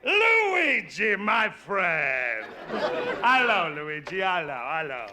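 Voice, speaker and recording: An actor playing a brash, mocking Italian immigrant neighbor who speaks heavy broken English, in a 1940s radio sitcom. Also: male voice, sits high, wildly swooping pitch, takes it slowly, a loud crowd, full character voice. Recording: old radio broadcast with faint hiss.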